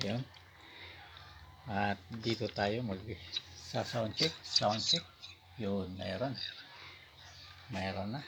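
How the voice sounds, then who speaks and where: A man with a Filipino accent giving a casual product demonstration as a vlogger, in halting, piecemeal phrases. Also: on the low side, background noise. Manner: halting, piecemeal delivery with repeated short filler phrases. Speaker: vlogger reviewing gear.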